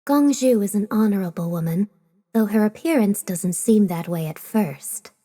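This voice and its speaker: A woman playing a gentle, polite tea goddess with a calm, measured manner in an audiobook. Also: mid-range voice, lightly acted. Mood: serene.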